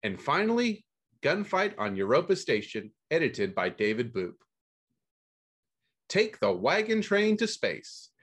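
A man's voice: a little high, very animated, measured pace, a bit bright, husky. Man hosting a book podcast. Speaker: stand-in podcast host and book editor. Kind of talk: scripted podcast reading of book news and blurbs.

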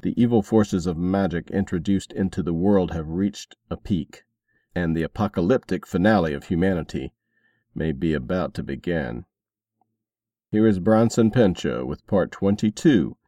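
A man with a deep voice works through a scripted host segment, announcing and recapping. The sound is close and dry, with hardly any room in it. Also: warm tone, no echo. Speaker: podcast host.

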